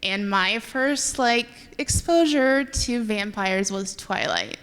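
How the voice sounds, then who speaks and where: A young woman fan asking a question at a live event, nervous, giggly and halting. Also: medium pitch, a bit bright, smooth. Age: young adult. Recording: reverberant hall with faint noise.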